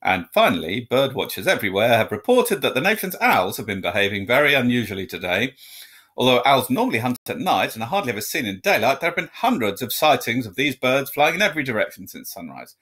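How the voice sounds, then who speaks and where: A formal television newsreader, acted out by a male reader, with a dry streak of humour. The announcing is measured and strongly inflected, part of a storybook. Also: medium pitch, very animated, a bit bright, slightly rough. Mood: formal, wry.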